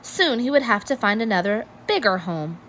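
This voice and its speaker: A woman reading a children's story aloud in an expressive, measured storytelling manner. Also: mid-range voice, a little hiss or hum. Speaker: storybook reader. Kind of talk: children's read-aloud narration.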